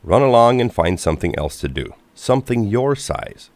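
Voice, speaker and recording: A big male diesel engine from a children's storybook, voiced by a man, busy and dismissive. The voice is low and brusque, with animated intonation. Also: moderate pace, dry recording.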